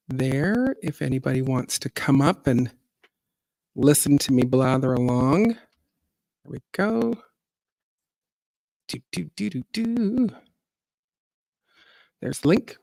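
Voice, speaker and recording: A middle-aged woman with a low, deep voice, a singer hosting a casual livestream chat, rambling along with fillers and little laughs. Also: darkish tone, no echo, low-quality recording. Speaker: singer and gardening channel host.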